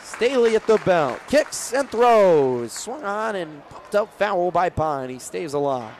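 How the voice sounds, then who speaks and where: A man's voice: sits high, very animated, takes it slowly. A young man calling live baseball play-by-play for radio. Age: young adult. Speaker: play-by-play broadcaster. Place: outdoor ballpark broadcast. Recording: crowd and stadium noise behind. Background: ballpark crowd.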